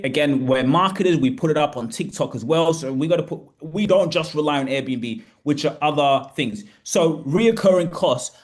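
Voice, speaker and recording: A man from Australia with a slightly high voice talks energetically to camera, coaching viewers in a loose, chatty way. He repeats himself and restarts sentences as he goes. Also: darkish tone. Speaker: business coach. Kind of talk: casual talk to camera.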